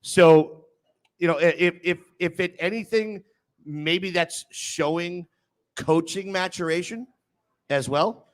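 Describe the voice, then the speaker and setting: A man's voice: sits high, slow pace. A man on a sports talk show discussing football in an emphatic, argumentative way.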